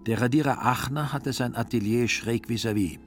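A man's voice: mid-range voice, moderate pace, over loud music. A man narrating a literary radio drama as a scripted storyteller.

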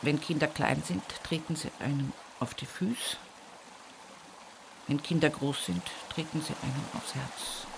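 An actress playing an earthy, stubborn, devout widow from the village in an intimate radio monologue, with a husky, breathy voice and an Upper Palatinate Bavarian accent. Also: female voice, sits low, fairly even intonation, measured pace, noisy background. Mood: tender, plaintive. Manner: earthy, folksy storytelling at a bedside. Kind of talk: intimate radio drama monologue in rural vernacular.

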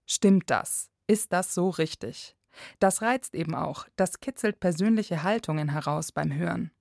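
A woman's voice: fairly low pitch, a little slow, creaky, a little mellow, dry recording, studio quality. A woman reporter reading scripted narration for a radio feature.

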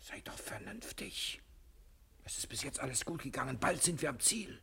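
A man's voice: medium pitch, expressive, moderate pace, vocal fry, sharp tone, whispered. A man playing a cheeky, self-assured thief on the run who soothes his wife, in a German radio crime-comedy serial. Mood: soothing.

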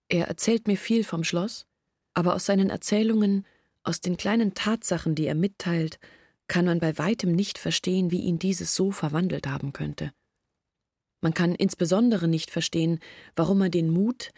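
A woman's voice: on the low side, darkish tone, slightly rough, dry recording, clean studio sound. An actress playing a troubled young woman who earnestly recounts her family's long hardship in a literary radio drama. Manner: earnest, reflective storytelling in long sentences. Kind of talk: German literary radio drama acting, monologue.

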